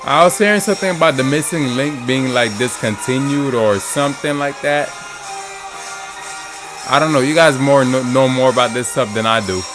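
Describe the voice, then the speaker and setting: A man's voice: mid-range voice, normal speed. Casual, slangy man with music playing behind. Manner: slangy, exclamatory. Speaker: streamer reacting to game trailers. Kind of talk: casual excited live reaction commentary. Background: game trailer music.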